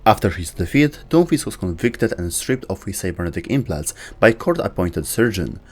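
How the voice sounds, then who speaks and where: Lowish, animated male narrator. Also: normal speed, faint music behind. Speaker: lore video narrator. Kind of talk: scripted documentary-style narration.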